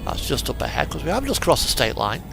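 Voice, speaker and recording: A man with a slightly high, very animated voice and a noticeable creak. He gives casual, rambling game commentary, thinking aloud as he drives. Music plays behind him along with vehicle sound. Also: fast talker. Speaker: video game streamer narrating a truck simulator drive. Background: music and vehicle engine sound.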